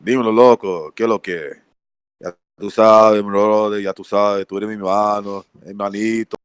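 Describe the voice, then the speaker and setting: A man putting on a fast-talking Dominican man with a Dominican Spanish accent and clipped slang, on a podcast. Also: on the low side, steady tone, clean tone, no echo, voice pushed down, close to an everyday voice. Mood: breezy. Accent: Dominican Spanish (imitated).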